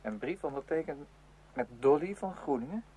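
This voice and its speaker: A man's voice: a little high, animated, moderate pace, natural voice. An actor playing a young man, a sceptical but loyal friend, in conversational Dutch radio play style with a questioning manner. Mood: wary, reproving. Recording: noisy old radio drama recording.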